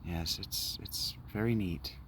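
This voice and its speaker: A man's voice: deep voice, slow, fairly bright tone, slight background noise. A man doing casual let's play commentary, rambling and goofy, humming nonsense and whining that he wants to play.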